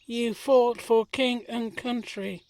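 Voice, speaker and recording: A woman's voice: a little high, steady tone, slow, a little mellow, no room sound. A woman playing a sensitive, earnest young male gardener in an audio play. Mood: admiring.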